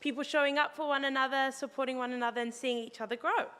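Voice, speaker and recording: A woman's voice: high voice, fairly even intonation, fast, bright, forceful tone, smooth, long reverb tail. A young New Zealand woman, a student, presenting to an audience in an upbeat, encouraging way. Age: young adult.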